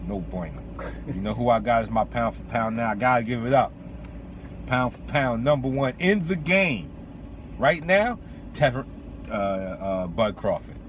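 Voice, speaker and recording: A man's voice: medium pitch, expressive, a little slow, a little hiss or hum. A man talking boxing as a host, casual, opinionated and slangy, repeating phrases for emphasis. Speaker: host giving boxing commentary. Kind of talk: casual opinionated sports talk. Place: in or beside a vehicle.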